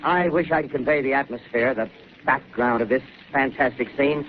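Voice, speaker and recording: An actor playing an on-the-spot radio reporter in a 1930s radio drama, talking in urgent, halting live commentary. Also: male voice, mid-range voice, close to an everyday voice. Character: excitable on-the-spot radio reporter, adult man. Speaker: field reporter. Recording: dull, hissy 1930s radio broadcast.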